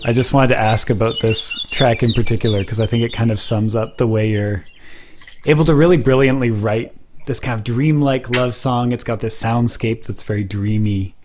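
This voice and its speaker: A man with a mid-pitched voice hosts a relaxed, conversational interview. He is articulate and attentive, prompting with questions, and there is some faint noise with small clicks on the recording. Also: normal speed. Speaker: interviewer, podcast host.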